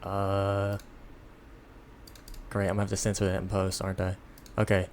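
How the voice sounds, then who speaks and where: Low-voiced, sleepy man giving a tutorial. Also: completely flat delivery, normal speed, slight background noise. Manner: sleepy, rambling, self-deprecating. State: tired, sleepy. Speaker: tutorial host. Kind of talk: unscripted software tutorial, casual.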